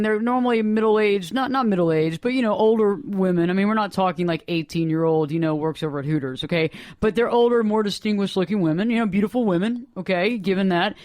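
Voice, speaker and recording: A woman's voice: mid-range voice, fast, few pauses, darkish tone, no echo, clean studio sound. A woman hosting a live radio talk show, speaking with energy and emphasis and repeating her points.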